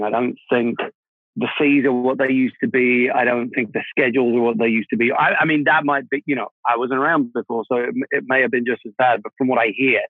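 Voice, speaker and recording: A man's voice: mid-range voice, dry recording. Czech-born man on a tinny line. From Czech Republic. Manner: relaxed, reflective, with small laughs and restarts. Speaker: guest composer. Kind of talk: casual interview.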